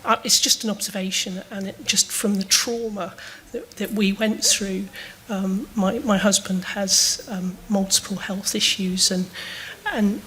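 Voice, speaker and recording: A woman, asking a panel a halting, hesitant question from the audience. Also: medium pitch, fairly even intonation, fairly bright tone, low-quality recording. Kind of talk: spontaneous question at a panel discussion.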